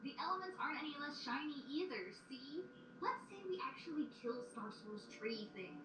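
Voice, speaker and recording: Woman playing whiny slacker pony, flippant, cartoon audio drama, lively high voice, echoey noisy playback. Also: moderate pace, recorded from a distance, crowd noise. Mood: complaining. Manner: complaining, flippant, excitable. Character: whiny, cocky, slacking female magician pony.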